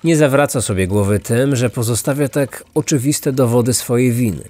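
A man hosting a true-crime podcast, reading a scripted story in a measured storytelling manner. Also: mid-range voice, a little mellow. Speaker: podcast host and narrator. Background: music.

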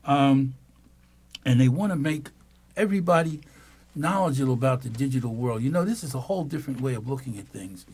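A man's voice: mid-range voice, animated, a little fast, darkish tone, husky. An older man hosting a radio talk show from a small studio, musing at length into a studio mic. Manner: musing, speechifying. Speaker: radio host. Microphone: studio mic on boom.

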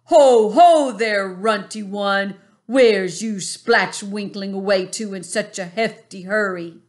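A woman voicing a huge, menacing male giant who taunts in a booming voice. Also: slow pace, close to an everyday voice. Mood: taunting.

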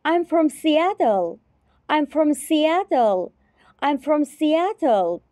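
A woman teacher repeating short phrases slowly and carefully in a repetition drill. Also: high voice, big pitch swings, dark tone, no echo, clean studio sound. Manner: repeats each phrase three times, carefully.

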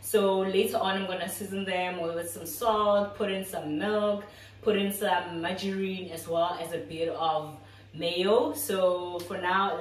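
A young South African woman casually explaining each step as she cooks in her home kitchen. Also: medium pitch. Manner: chatty, step-by-step explaining to viewers. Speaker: cooking vlogger. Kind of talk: casual cooking walkthrough. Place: small home kitchen, indoors. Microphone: camera a few feet away. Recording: echoey kitchen, distant mic.

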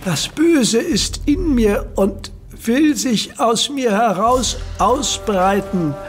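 A man's voice: extremely high pitch, expressive, slow pace, soft background music, full character voice. An actor playing an older father who is tormented by pain and speaks desperately in a German radio drama. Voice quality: groaning, strained and desperate.

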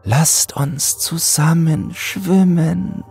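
Male narrator as uncanny tall female being, raised pitch, drawn-out, benevolent, luring, horror audiobook. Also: steady tone, gravelly, a little mellow, husky, soft background music, full character voice. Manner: slow, drawn-out, luring speech.

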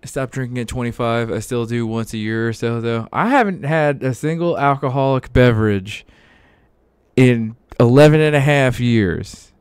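A man in his thirties jokes and teases his co-host on a home livestream. His voice is smooth and clear at an ordinary pitch. The recording is dry and close, with no room sound. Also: no echo. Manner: joking, teasing, quick laughs. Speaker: co-host of a history reading stream. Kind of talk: casual livestream banter.

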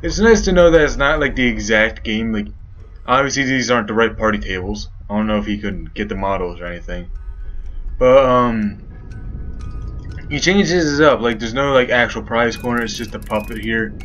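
A man's voice: mid-range voice, normal speed, a bit bright, highs cut off, faint music behind. A young man doing rambling, joking commentary while playing a horror game. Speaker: gaming youtuber. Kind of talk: let's play commentary.

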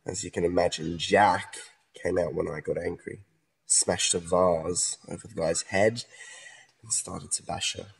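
An actor playing a troubled murder suspect with two personalities, brooding and erratic, in a modern radio play. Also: male voice, low-pitched, steady tone, unhurried, gravelly, slightly rough, exaggerated voice, poor audio. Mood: uneasy, confessing.

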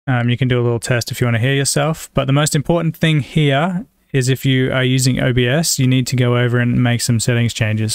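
A man with an Australian accent, giving a casual software tutorial as a voice-over. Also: medium pitch, clean tone, no room sound, clean studio sound. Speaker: tutorial presenter.

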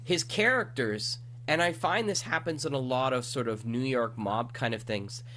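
A man is hosting an interview show in a conversational way while getting over a cold. His voice sits at a middle pitch. A faint hum runs in the background of the recording. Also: moderate pace, a bit bright. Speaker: radio drama podcast host interviewer.

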